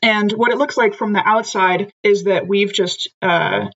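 A woman's voice: medium pitch, steady tone, measured pace, fairly bright tone, lo-fi sound. A woman playing a tabletop game, chatting and thinking aloud as she describes her character's actions. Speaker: player. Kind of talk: casual tabletop play and in-character narration.

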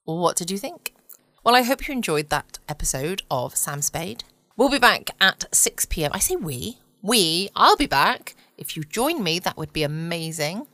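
Low-voiced British woman, chatty podcast host. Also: big pitch swings, normal speed, sharp tone, no echo. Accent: British English. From United Kingdom. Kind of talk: casual late-night podcast chat. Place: home.

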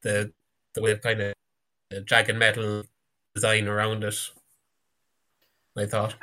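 A man's voice: on the low side, monotone, a little slow, sharp tone. A man on a podcast panel, casually discussing a TV episode.

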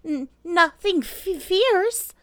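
Lady doing nervous lil piglet boy, squeaky high, stammery, kids storybook. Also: animated, drawn-out words, no echo, heavily acted. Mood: anxious. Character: small, timid, anxious male piglet. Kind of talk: children's storybook character voice.

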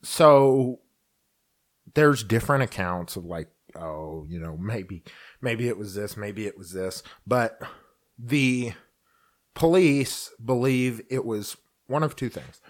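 A man co-hosting a podcast, telling a true-crime story in casual chat, slowly and haltingly with many fillers. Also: lowish voice, expressive. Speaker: podcast co-host.

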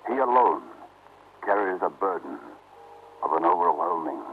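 An actor playing an ominous, florid announcer in old radio horror, portentous and deliberate, on a tinny recording. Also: male voice, sits low, expressive, a little hiss or hum. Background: dramatic music.

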